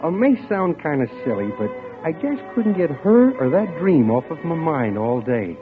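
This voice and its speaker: A man's voice: a little high, very animated. An American actor playing a smitten young bank clerk, telling his story in a wry, musing way in old radio suspense style. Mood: wry, brooding. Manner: wry, musing, dramatic pauses. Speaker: actor narrating a radio drama. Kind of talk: golden-age radio suspense acting, first-person narration. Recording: tinny, band-limited old radio recording with music.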